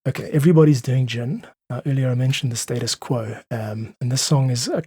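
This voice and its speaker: Guy chatting on a podcast, bit husky, earnest and thoughtful, really dry close sound. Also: mid-range voice, fairly quick, mellow, dry recording. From South Africa. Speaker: interview guest, independent musician. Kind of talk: reflective conversational podcast talk.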